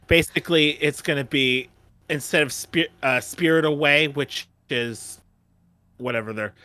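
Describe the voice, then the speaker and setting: A man hosting a casual fan chat in a halting way, often repeating phrases as he goes. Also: fairly high pitch, bright, forceful tone, no room sound. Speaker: host of a fan discussion.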